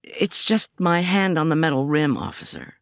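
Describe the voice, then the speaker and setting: A woman's voice: low-pitched, normal speed, dry recording, studio quality, pitched above the performer's own voice. A woman voicing a shaken but composed, assertive female prosecutor in a thriller audiobook, speaking politely and with control. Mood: defensive, conciliatory. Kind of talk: audiobook thriller character dialogue.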